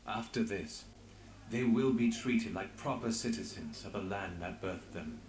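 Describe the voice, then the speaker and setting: An actor playing an earnest, idealistic investigator in a fantasy game, speaking deliberately. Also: male voice, on the low side, a little mellow, echoey room, slight background noise, lightly acted. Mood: subdued. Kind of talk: dramatic fantasy game voice acting.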